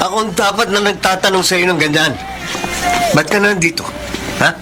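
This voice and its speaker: A man with a Filipino accent acting a possessive, violent, gruff young boyfriend in a radio drama. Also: high voice, normal speed, over music, a crowd in the background, lightly acted. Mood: enraged. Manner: menacing, domineering, gruff.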